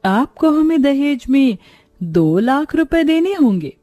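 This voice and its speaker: A woman's voice: high voice, big pitch swings, slow pace, dark tone, no echo, faint music behind, pitched above the performer's own voice, exaggerated voice. Woman playing a haughty, greedy rich mother-in-law type. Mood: demanding. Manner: demanding, condescending.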